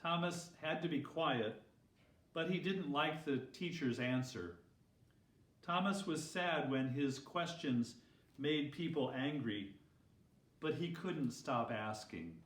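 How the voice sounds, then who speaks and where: A man, a pastor, reading a children's story expressively with deliberate phrasing. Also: a little high, lively intonation. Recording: roomy echo, distant microphone.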